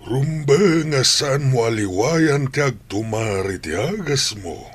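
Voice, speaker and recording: Casting: older Ilocano family patriarch for radio drama, animated pitch swings, measured pace, stern yet loving. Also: male voice, breathy edge. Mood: kindly. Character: stern, caring grandfather scolding his daughter-in-law.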